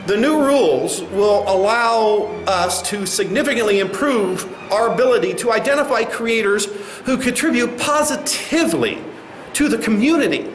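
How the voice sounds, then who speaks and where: Smug male company spokesman, played by a comic actor, high voice, condescending and halting, roomy echo. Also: a bit bright, a little hiss or hum. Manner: condescending, halting with mock-official filler. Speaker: corporate spokesman in a comedy sketch. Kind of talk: satirical press conference acting.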